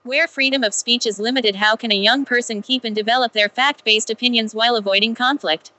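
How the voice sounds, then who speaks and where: A woman's voice: fairly high pitch, steady tone, brisk, sharp tone. A woman reading prepared text aloud in a scripted style.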